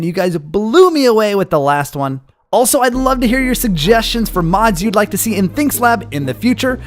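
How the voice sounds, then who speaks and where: A man hosting a gaming video with casual, playful, excitable roleplay commentary. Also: sits high, no room sound.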